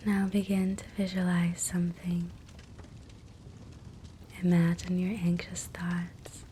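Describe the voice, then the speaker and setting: A woman guiding a sleep hypnosis meditation in a soft, breathy whisper, gentle and soothing. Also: fairly low pitch. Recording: soft steady fireplace crackle noise. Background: fireplace ambience.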